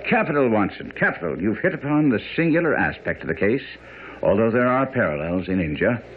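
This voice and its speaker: A man's voice: on the low side, sing-song, brisk, breathy edge. A man acting as a self-assured master detective in a radio drama, precise, commanding and didactic. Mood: confident, excited. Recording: muffled, noisy radio broadcast.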